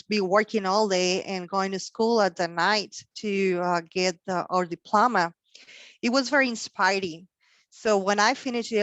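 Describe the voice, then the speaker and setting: Woman, Mexican accent, native Spanish speaker, middle pitch, halting delivery, dry close sound. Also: a bit bright, dry recording. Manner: halting, many fillers and restarts. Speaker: career panel speaker. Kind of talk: career talk to students.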